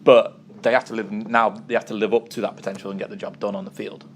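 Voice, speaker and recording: A man hosting a casual sports preview show, chatting with a co-host and often pausing with ums and uhs. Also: on the low side, level tone, a little hiss or hum.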